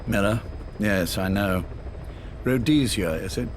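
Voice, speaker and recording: Actor as measured, low-voiced spymaster amid loud rumble. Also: male voice, natural voice. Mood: guarded, brisk. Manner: measured, formal, lecturing, self-assured. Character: cold, visionary older male spymaster called Major. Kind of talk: scripted dramatic game dialogue.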